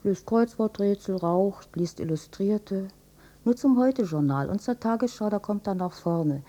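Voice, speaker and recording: A woman's voice: medium pitch, animated, a little slow, dark tone, lightly acted. An actress playing a resigned older wife and mother in a German radio drama, speaking huskily in a dry, matter-of-fact way. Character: resigned older housewife and mother, drily mocking her husband.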